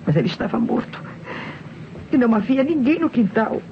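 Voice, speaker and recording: An actress playing a distressed Brazilian widow and mother testifying in an old radio mystery, in a dramatic, halting manner. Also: on the high side, sing-song. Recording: muffled, noisy old radio recording.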